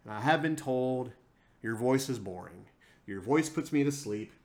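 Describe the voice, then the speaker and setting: A man hosting his own podcast, speaking to his listeners in a passionate solo monologue. He keeps repeating and stressing his phrases, with big swings in pitch and loudness, and he records on a single mic plugged into a laptop. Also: normal speed. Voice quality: self-described monotone voice. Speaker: podcast host.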